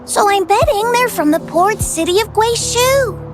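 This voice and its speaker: A woman's voice: extremely high pitch, expressive, measured pace, over music. A woman voicing a cheeky, boastful young girl adventurer in a video game, teasing and self-assured. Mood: chatty, curious. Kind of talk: video game character dialogue.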